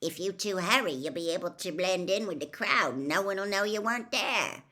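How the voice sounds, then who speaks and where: A woman voicing a huge, gruff but kindly half-giant man who talks bluntly and loudly. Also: medium pitch, expressive, fairly quick. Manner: blunt, loud and dismissive.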